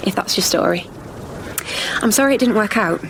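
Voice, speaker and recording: An actress with a British accent playing a dry, sardonic young museum worker in a radio sitcom. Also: female voice, medium pitch, lively intonation, moderate pace, noisy background, natural voice. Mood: wry regret. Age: young adult. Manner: dry, understated sarcasm.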